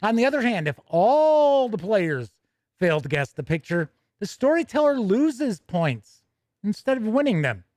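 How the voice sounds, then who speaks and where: A man's voice: high-pitched, sing-song, unhurried, darkish tone, dry recording. A man hosting a tabletop gaming livestream, talking in a chatty, conversational way with casual asides and self-corrections. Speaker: host of a tabletop gaming news show. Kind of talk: casual livestream chat and news reading.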